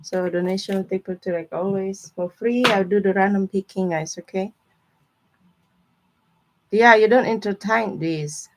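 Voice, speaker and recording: A woman's voice: medium pitch, measured pace, a little hiss or hum, rough recording. A woman with informal, non-native English phrasing, chatting casually with viewers as she gives a live tarot reading. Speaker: tarot reader hosting a live stream.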